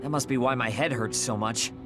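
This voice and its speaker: An actor playing a blunt, gruff male soldier in a video game cutscene. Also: medium pitch, brisk, close to an everyday voice. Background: music.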